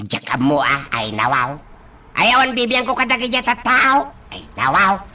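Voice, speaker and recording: A woman acting in an amateur radio drama, speaking in a sing-song way with drawn-out sighs. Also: mid-range voice, sing-song, sharp tone.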